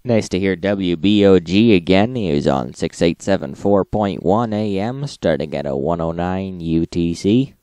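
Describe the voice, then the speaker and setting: A man's voice: low voice, normal speed, few pauses, mellow, dry recording. A man hosting an informal radio recap, narrating logs in a matter-of-fact manner.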